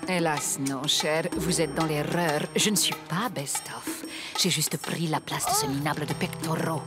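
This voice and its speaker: Cartoon femme fatale spy, deep female voice, sultry, mocking, composed, loud background music. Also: measured pace. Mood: coolly taunting. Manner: cool, taunting, mock-polite. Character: sultry, mocking female villain spy posing as gym teacher. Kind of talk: animated cartoon voice acting.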